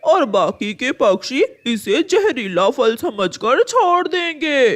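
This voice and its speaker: A woman voicing a selfish, sneaky male crow in a cartoon, scheming and measured. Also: sits high, very animated, heavily acted.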